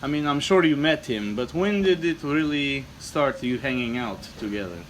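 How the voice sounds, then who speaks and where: A man's voice: mid-range voice, animated, moderate pace, slight background noise, close to an everyday voice, poor audio. A man playing a courteous, approving businessman hosting an improvised comic chat, speaking in clipped, formal, repeated phrases. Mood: polite, curious. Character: courteous, approving Bulgarian shipping businessman, old friend. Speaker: host. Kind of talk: improvised comic character interview.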